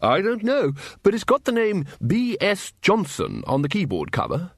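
Blustering old male wizard, played by a male narrator, raised pitch, lively swings, hesitant ums, absent-minded, hearty, fantasy audiobook. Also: moderate pace, vocal fry, slightly rough, dry recording, clean studio sound, heavily acted. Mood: bluff, jovial.

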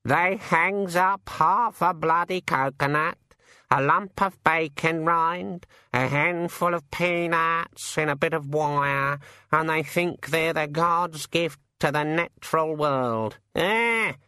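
A man's voice: a little high, slow, sharp tone, clean tone, no echo, studio quality, voice pushed up, heavily acted. A man voicing a cynical, chatty male raven who grumbles and rambles on. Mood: grumbling.

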